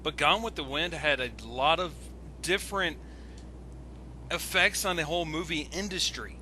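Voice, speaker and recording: A man ranting casually on an online voice call, exasperated and excitable, stammering and swearing when he gets worked up. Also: on the high side, normal speed, noisy background. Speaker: guest commentator on an online video.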